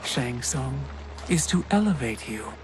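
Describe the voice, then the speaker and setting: Mysterious villain recruiter in a game, real slow and grand, loud score behind. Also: male voice, medium pitch, sing-song, music in the background, poor audio. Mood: coolly seductive. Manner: deliberate, portentous. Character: mysterious male tempter, grand, coolly persuasive schemer. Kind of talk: cinematic video game acting.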